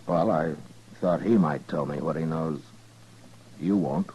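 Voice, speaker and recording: A very low male voice plays a weary, dogged Old West lawman. He is dry and understated, often halting mid-thought. It is 1950s radio drama, with background noise on the old recording. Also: warm tone, poor audio. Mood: hesitant, probing. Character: calm, weary, dogged frontier town marshal. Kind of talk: 1950s radio western acting.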